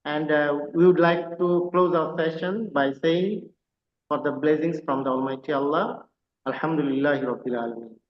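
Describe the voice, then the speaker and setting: A man's voice: on the high side, normal speed, echoey room, highs cut off. A man from Bangladesh, co-hosting an online lecture and giving formal, courteous closing remarks with many hesitant fillers. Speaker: co-host, visiting assistant professor.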